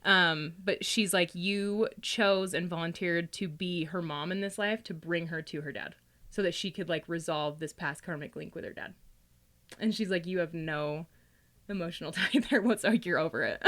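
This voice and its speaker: A woman's voice: on the low side, moderate pace, fairly bright tone. A woman guest on a podcast telling stories casually and colloquially, peppering her talk with "like" and often giggling. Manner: giggly, laughs often while talking; colloquial, heavy use of "like". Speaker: podcast guest, psychic reader. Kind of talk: casual podcast chat, animated storytelling.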